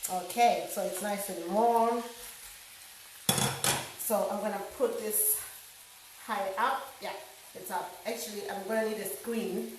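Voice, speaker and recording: A middle-aged woman with a hoarse, slightly nasal voice, chatting loudly with many fillers while cooking at home. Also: medium pitch, steady tone, slow, pauses often, distant mic, background noise. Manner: loud, close to shouting, many fillers. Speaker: home cook vlogger. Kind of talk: casual cooking vlog chat. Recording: very echoey kitchen, voice off-mic.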